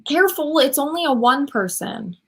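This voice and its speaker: A proud young eco-inventor played by a woman in her thirties in a comic radio play. She has a somewhat high voice and a dry-witted, natural delivery. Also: expressive, normal speed. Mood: worried. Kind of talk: comedic radio play acting over video call. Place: room at home, on a video call.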